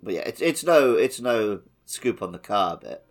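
A man's voice: lowish voice, sing-song, moderate pace, faint music behind. A man co-hosting a video game podcast, talking in a casual conversational style. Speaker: podcast co-host.

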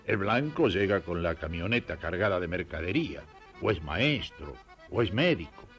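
A man's voice: low-pitched, sing-song, normal speed, fairly bright tone, faint music behind. A man from Belgium narrating a personal testimony. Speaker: rural worker giving testimony.

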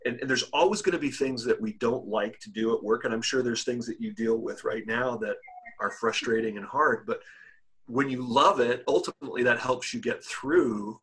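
A man hosting a casual podcast interview, with a slightly husky, breathy voice at an ordinary pitch. He talks haltingly and often restarts his sentences as he sets up his questions. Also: a bit bright, rough recording. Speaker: podcast host.

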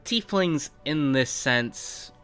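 A man with a bright, forceful voice works as a tabletop game master. He frames the fantasy world and puts questions to a player, with music running behind him. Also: fairly high pitch, expressive, drawn-out words, smooth. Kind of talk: scripted intro narration and character interview. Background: background music.

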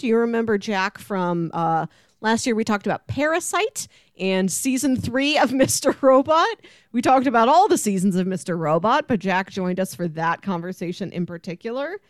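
A woman hosts a relaxed pop culture podcast in a chatty, enthusiastic storytelling way. Her voice sits at a middling pitch, recorded dry with very little room around it. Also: no echo. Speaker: podcast host. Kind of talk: casual pop culture discussion.

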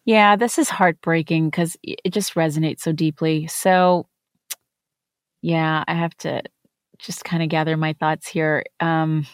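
A woman from India hosts an interview and speaks in an earnest, reflective way. Her voice is low. The recording is dry and close. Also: fairly even intonation, a little slow, clear, resonant tone, dry recording. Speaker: podcast host. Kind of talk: conversational interview.

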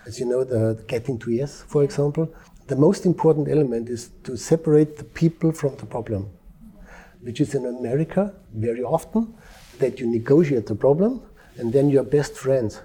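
Male interview guest from Europe, former negotiator; very dark, husky voice, calm and measured delivery. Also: mid-range voice. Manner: calm, measured, with frequent restarts. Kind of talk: interview conversation. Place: Dubai.

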